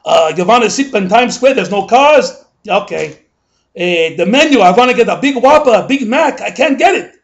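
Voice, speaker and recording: A man's voice: high-pitched, lively intonation, normal speed, gravelly, highs cut off. A man playing a grumbling older male New Yorker, ranting in a rambling, indignant comic monologue full of asides.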